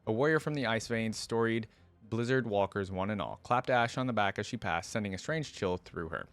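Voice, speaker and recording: Low-voiced man reading lore aloud. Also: brisk, a bit bright, faint music behind. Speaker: reaction video host.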